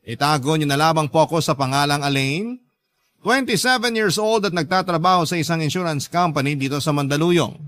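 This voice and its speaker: A man with a Filipino accent narrating as a radio host in an earnest, measured, dramatic storytelling style. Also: on the high side, a bit bright. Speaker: radio host reading listener letters.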